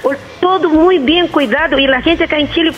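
High-voiced, impassioned Brazilian woman caller. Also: a little fast, fairly bright tone. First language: Portuguese. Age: middle-aged or older. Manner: emphatic, impassioned, repetitive. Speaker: phone-in caller. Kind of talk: opinionated live phone-in talk. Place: phone line into live TV show. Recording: phone call heard over broadcast.

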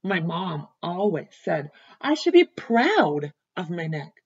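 A woman reading as a self-conscious male giraffe who complains gloomily about his long neck, with a slightly husky voice. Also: on the low side, sing-song, slow pace, muffled recording, exaggerated voice. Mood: glum.

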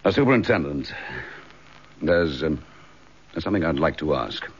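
Deep, husky, dogged English police inspector. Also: male voice, animated, moderate pace, heavily acted. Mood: insistent. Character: determined, dogged police chief inspector, recently suspended. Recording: dull, lo-fi radio drama recording.

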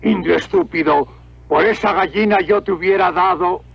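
A man playing a haughty, scornful gentleman in a cartoon, demanding and contemptuous. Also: sits high, normal speed, creaky, slightly rough, no echo, full character voice. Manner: imperious, contemptuous. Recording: muffled lo-fi cartoon soundtrack.

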